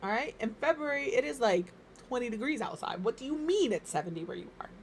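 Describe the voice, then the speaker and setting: A woman from Minnesota streaming from home, chatting casually and rambling, her voice slightly hoarse and crackly as it recovers. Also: fairly high pitch, animated, fast. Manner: rambling, chatty, self-deprecating. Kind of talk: casual chat while gaming.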